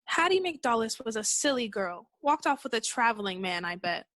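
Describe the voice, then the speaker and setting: A woman playing a bold, self-assured townswoman in a radio play, brisk and dismissive. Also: on the high side, sing-song, fairly bright tone, no echo, studio quality. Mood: scoffing. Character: bold, dismissive young townswoman, unafraid and breezy. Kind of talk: 1940s radio play acting.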